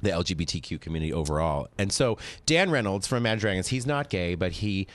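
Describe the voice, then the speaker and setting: A joking man in his late thirties hosts a casual talk show, chatty and quick to restart his sentences. His voice sits lower than usual today, and the sound is dry and close with no room echo. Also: very animated, a bit bright. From Utah, US. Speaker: podcast host. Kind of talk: casual chat.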